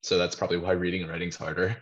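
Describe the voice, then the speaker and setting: A man joining a casual chat, relaxed and chummy, on a muffled recording. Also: sits low, racing, slightly rough. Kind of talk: casual conversation. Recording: muffled lo-fi sound.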